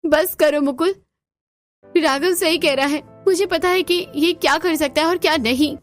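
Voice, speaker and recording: A woman's voice: sits high, moderate pace, soft background music, lightly acted. A woman voicing a kind, earnest young woman, the daughter of a rich company boss.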